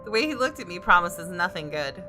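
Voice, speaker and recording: A woman gaming creator reading visual novel narration aloud, with expressive reactions. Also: on the low side, fairly quick, faint music behind. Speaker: gaming YouTuber.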